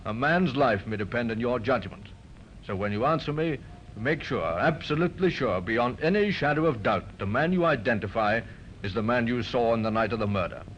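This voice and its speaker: An actor playing a firm, methodical police inspector with a measured, formal manner in a 1950s radio crime drama. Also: male voice, mid-range voice, animated, fairly bright tone, lightly acted. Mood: grave, instructing. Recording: muffled, noisy 1950s radio recording.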